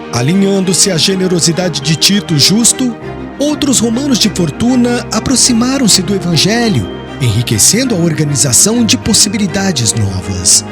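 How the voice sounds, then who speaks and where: A man narrating an audiobook in a solemn, formal storytelling manner. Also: high-pitched. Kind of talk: scripted literary narration. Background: music.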